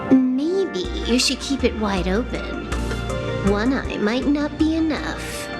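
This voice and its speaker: A woman's voice: high-pitched, expressive, slow pace. An actress playing a sly, nonchalant young female hacker in a video game, with a dry, teasing way of talking. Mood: dry, smug. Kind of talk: scripted video game voice acting. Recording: loud music under the voice. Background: game music.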